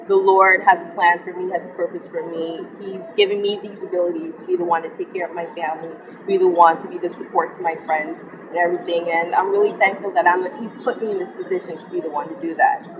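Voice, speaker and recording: A young woman from the Bahamas, sharing her personal faith story in an interview, earnest and reflective with frequent pauses. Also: lowish voice, flat delivery, highs cut off, background noise. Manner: earnest and reflective, often pausing with ums. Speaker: interview guest. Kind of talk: personal testimony in conversation.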